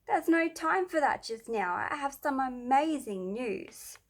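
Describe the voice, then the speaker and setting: Storybook schoolgirl played by a woman narrator: excitable, bossy, emphatic, voice lifted high. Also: unhurried. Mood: excited, impatient. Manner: emphatic, dramatic.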